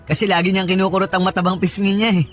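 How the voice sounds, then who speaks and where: A sweet young husband, high voice, casual and coaxing, radio drama with music behind. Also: male voice, little pitch movement, rapid-fire, a bit bright. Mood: reassuring. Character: Peter, affectionate, reassuring young husband.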